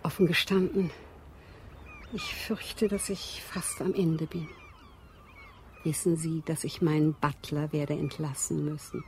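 A kindly, scatterbrained guardian of wayward girls in a radio play. Her voice sits a little low, and she talks earnestly, trailing into gentle confusion. Faint noise hums behind her. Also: fairly even intonation, unhurried, pauses often. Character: kindly, scatterbrained woman running a girls' home. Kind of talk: radio drama acting.

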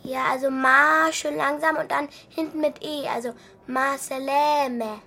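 A woman's voice: high voice, fairly even intonation, a little slow, pushed and bright, heavily acted. An actress playing an eager, chatty little girl in a radio drama, childlike, earnest and insistent. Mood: eager, instructing. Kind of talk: German radio drama acting.

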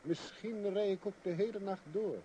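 An actor playing a gruff, reckless, secretive driver in a radio play. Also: male voice, high-pitched, steady tone, moderate pace, mellow, lo-fi sound, a little hiss or hum, natural voice. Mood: gruff, careless. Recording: old radio recording, engine drone behind.

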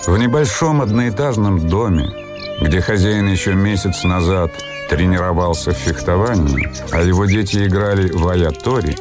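A man's voice: low voice, moderate pace. A man reading scripted, documentary-like narration for a historical drama. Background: instrumental music.

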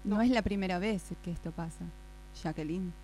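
Woman playing a stern, dark-toned female boss. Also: lowish voice, voice pushed down, natural voice. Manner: formal, measured, firm. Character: stern, formal female boss scolding employee. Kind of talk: improvised radio drama workshop. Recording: faint mains hum.

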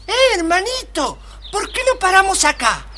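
Very high-voiced, eager little pig. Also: female voice, huge pitch swings, moderate pace, background noise, full character voice. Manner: childlike, confident, exclamatory. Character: fat, confident, eager little male pig brother. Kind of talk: recorded children's story acting.

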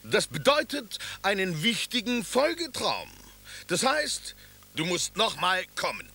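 A haughty, greedy fortune-teller in a radio play, his voice swooping high and low with bright, slow, drawn-out delivery. He talks down to everyone and snaps out commands. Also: huge pitch swings, vocal fry, slightly rough, full character voice. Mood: pompous. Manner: pompous, haughty, barking orders.

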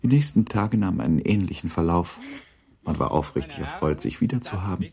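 A man's voice: deep voice, expressive, brisk, vocal fry, dark tone. A man reading scripted literary narration for a radio drama.

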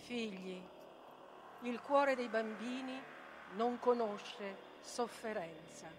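A woman's voice: a little high, slow pace, noisy background. A woman playing a fearful, grieving child in a poetic stage tragedy, reciting in incantatory, broken phrases. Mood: solemn. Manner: incantatory, declamatory, chanting in short broken phrases. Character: doomed child of Medea, grieving, fearful, oracular.

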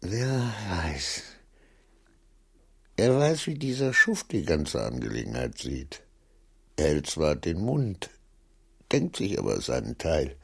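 An actor playing an anxious, self-pitying clerk who mutters agitatedly to himself in a radio play, swinging between dread and defiance. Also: male voice, extremely low pitch, wildly swooping pitch, takes it slowly, gravelly, long pauses, fairly bright tone, slightly rough, full character voice. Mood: sullen, self-pitying. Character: anxious, self-pitying male clerk, brooding over his double.

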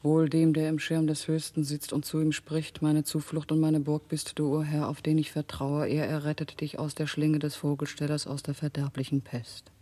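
A woman's voice: low-pitched, completely flat delivery, normal speed, few pauses, dark tone. A woman playing a stern, devout mother in a radio play, speaking solemnly as if reciting scripture. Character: devout, stern mother trusting wholly in God. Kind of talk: 1960s radio play acting.

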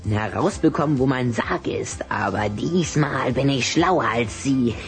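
A man's voice: medium pitch, unhurried, soft background music, lightly acted. An actor playing a cocky, impatient little vampire boy in a children's radio play, teasing and bossy. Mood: smug, amused. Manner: teasing, bossy, self-satisfied.

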